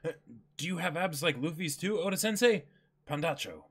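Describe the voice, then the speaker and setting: A man's voice: a little high, expressive, normal speed, a bit bright, breathy edge. A man in his twenties, playful and exclamatory, doing a dramatic reading with casual banter as a co-host. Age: 20s. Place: indoor room, seated.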